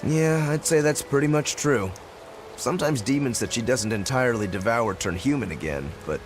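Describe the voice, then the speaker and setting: A man's voice: medium pitch, expressive, moderate pace, faint music behind, lightly acted. A man voicing an easygoing, boyishly enthusiastic swordsman in a video game, informal and breezy. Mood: casual. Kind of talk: English video game voice acting.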